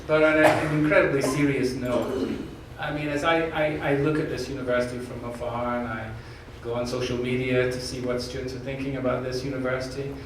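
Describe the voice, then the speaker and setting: A man's voice: mid-range voice, little pitch movement, brisk, hardly any pauses. Scottish man speaking in an echoey hall. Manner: earnest, jokey, repeats words when restarting. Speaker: university president candidate. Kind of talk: answering questions at an open forum. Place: large echoey room from far off. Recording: echoey room, distant mic, background noise.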